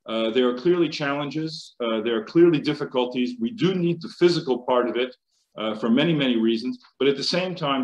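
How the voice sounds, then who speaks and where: A middle-aged American man speaking as a panelist on a video call, hesitant with many fillers and restarts. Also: medium pitch. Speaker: panelist, chemical engineering professor. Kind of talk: conference panel remarks. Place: home office. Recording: roomy video call audio.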